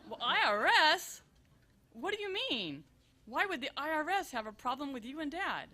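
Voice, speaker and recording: Woman playing a bright, animated, exasperated adult daughter. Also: high voice, moderate pace, full character voice. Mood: puzzled. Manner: emphatic, exasperated, theatrical. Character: earnest, busy, exasperated adult daughter, forensic accountant. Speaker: stage performer in a benefit show. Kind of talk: live comedic radio-show acting.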